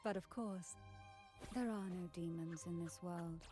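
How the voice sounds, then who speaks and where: A woman's voice: fairly low pitch, measured pace, lightly acted. An actress playing an enigmatic, alluring woman in a video game, speaking with teasing, theatrical elegance. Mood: sly, enticing. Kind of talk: scripted video game dialogue. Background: game music.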